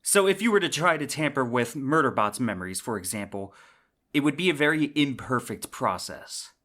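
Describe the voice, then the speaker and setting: A man's voice: mid-range voice, lively intonation, moderate pace, a bit bright. Man hosting an explanatory book podcast. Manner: conversational, explanatory, with casual asides. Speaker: podcast host. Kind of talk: semi-scripted book review commentary.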